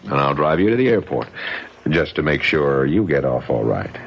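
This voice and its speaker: A man's voice: rumbling low, sing-song, moderate pace, creaky, very noisy, heavily acted. An actor playing a coolly sardonic, menacing bookie in a radio drama, with slangy, mocking tough-guy talk. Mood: smug command. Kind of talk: 1970s radio drama acting.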